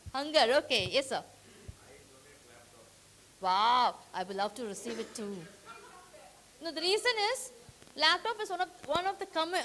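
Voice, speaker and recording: A woman leading an interactive training session in a meeting hall. She rallies the audience with energetic questions. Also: very high voice. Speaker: workshop trainer.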